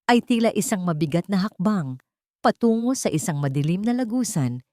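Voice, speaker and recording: A woman's voice: mid-range voice, expressive, moderate pace, mellow, dry recording, clean studio sound. A woman narrator reading a scripted romantic drama in a solemn, emotive storytelling style.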